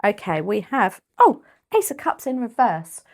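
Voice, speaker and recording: A woman with a British accent giving a casual tarot reading, chatty and rambling, her voice a little croaky and often clearing her throat. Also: mid-range voice, very animated, a little slow. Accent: British English. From United Kingdom. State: slightly croaky voice. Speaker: tarot reader.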